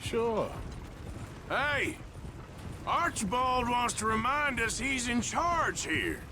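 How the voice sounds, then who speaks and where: An actor playing a laid-back, laconic American cowboy outlaw in a western video game, talking wryly. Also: male voice, very high voice, sing-song, slow, exaggerated voice. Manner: wry, laconic. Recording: clear outdoor background noise.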